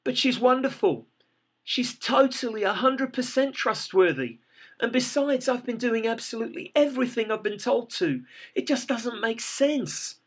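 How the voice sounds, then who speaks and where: A man voicing a distraught small business owner, crying and pleading in a high, strained voice. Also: lively intonation, moderate pace, husky, heavily acted. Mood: desperate. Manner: pleading, tearful, voice breaking high.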